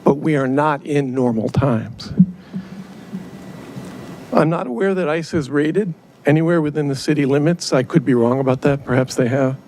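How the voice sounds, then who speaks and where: A man's voice: on the high side, normal speed, darkish tone, a little hiss or hum. Earnest man giving public comment. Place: council chamber.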